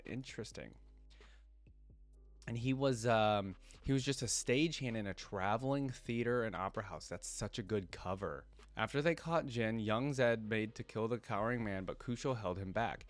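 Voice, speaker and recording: A man hosting a reaction video, reading aloud and commenting casually in plain, conversational phrasing. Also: on the low side.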